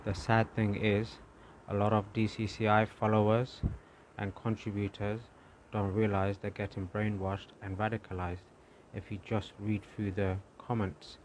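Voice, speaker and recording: A man hosting his own channel, giving calm, measured commentary before playing a clip. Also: fairly low pitch, a little hiss or hum. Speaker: channel host. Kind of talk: video commentary.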